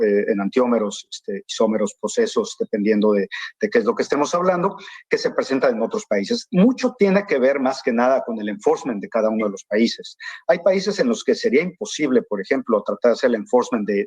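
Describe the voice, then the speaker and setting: Husky-voiced man on a panel. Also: medium pitch, darkish tone, no room sound. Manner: hesitant, many fillers and repeated words. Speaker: pharmaceutical company panelist. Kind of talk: panel talk over video call.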